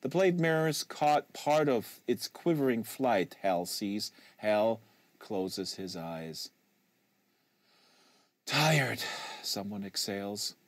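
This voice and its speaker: A man giving a live, measured and expressive reading of a literary novel as the narrator. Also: lowish voice, no echo. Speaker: audiobook reader and livestream host. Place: small sound-treated studio. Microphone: close studio microphone.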